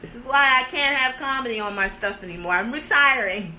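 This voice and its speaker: High-voiced woman joking on a livestream. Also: fairly quick, bright, forceful tone, a little hiss or hum, rough recording. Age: 30s. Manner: playful, sarcastic, theatrical complaining. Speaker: livestream host. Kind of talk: casual live-stream chat with viewers.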